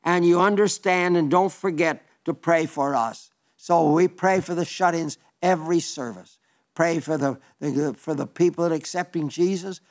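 An elderly male radio preacher with a slightly high voice, reading letters from listeners in a homespun sermon. He talks in a chatty, easygoing way with little stumbles and asides. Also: moderate pace. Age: older. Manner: chatty and warm-hearted, with small stumbles and asides.

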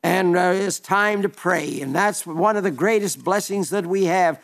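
A man's voice: sits high, moderate pace, a bit bright. An elderly man preaching a folksy radio sermon, reading listener letters with chatty asides and small stumbles. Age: older.

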